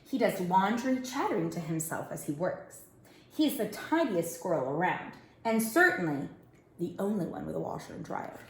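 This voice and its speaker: Animated woman reading a children's story. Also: on the low side, moderate pace. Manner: expressive, addressing young children. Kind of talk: children's picture book read-aloud. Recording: roomy, echoing indoor sound.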